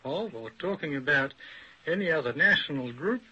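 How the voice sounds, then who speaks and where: A man speaking as an academic expert in a radio discussion, explaining deliberately and articulately in a didactic way. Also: a little high, animated, pushed and bright, husky. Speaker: academic expert guest, professor. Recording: dull old radio recording.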